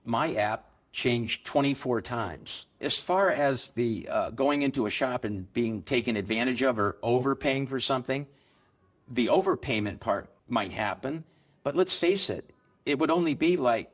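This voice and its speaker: A middle-aged man, a business co-founder, explaining his trade in a relaxed interview, telling firsthand stories. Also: medium pitch. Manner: explanatory, anecdotal, with occasional laughs. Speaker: gold business co-founder, guest. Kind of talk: conversational interview answers.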